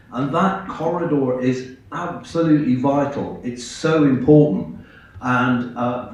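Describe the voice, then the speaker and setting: A man with a hoarse voice from a cold, giving an earnest, halting presentation with slides at a public meeting. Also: mid-range voice, a little mellow. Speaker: invited speaker at a public meeting. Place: large echoey meeting hall. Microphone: far from the microphone. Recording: echoey hall, distant, dull sound.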